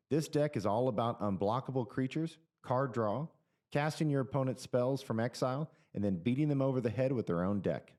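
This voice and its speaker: A man's voice: mid-range voice, darkish tone. A man giving scripted voice-over narration, evenly reading out card text and deck details.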